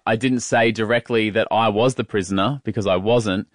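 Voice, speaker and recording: A man with an Australian accent hosting a podcast in casual, comedic and slightly halting chat. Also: on the low side, fairly even intonation, no room sound, studio quality. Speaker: podcast and radio host.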